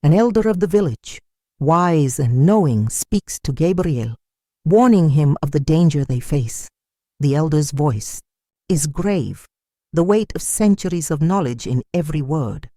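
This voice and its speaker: Folktale narrator, mid-pitched and mellow, solemn dramatic read, very dry recording. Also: expressive, a little slow, no echo. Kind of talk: dramatic scripted storytelling narration.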